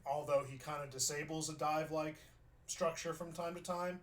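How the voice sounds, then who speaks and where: A young man from New York talks through a gameplay review in a loose, conversational way. His voice sits a little high for a man. He thinks out loud and often circles back to restart a thought. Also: steady tone, moderate pace. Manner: chatty, thinks aloud with restarts. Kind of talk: casual gameplay review commentary.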